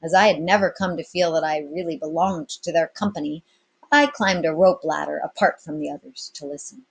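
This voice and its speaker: A woman reading a story aloud expressively like a teacher, pausing for casual explanatory asides. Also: sits low, gravelly, slightly rough.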